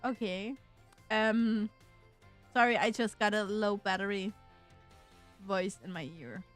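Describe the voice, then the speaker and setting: A woman streaming a video game who chats casually and reads the on-screen text aloud, slowly and haltingly, sometimes tripping over words. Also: medium pitch. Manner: slow, halting, trips over words while reading. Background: faint game music.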